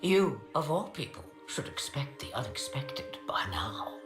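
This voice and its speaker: A woman's voice: rumbling low, big pitch swings, measured pace, heavily acted. An actress playing a sly, imperious old witch and grandmother in a fantasy game, with wry, archaic, theatrical phrasing. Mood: cool, stern. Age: older. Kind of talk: dramatic fantasy game acting. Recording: echoey, music behind.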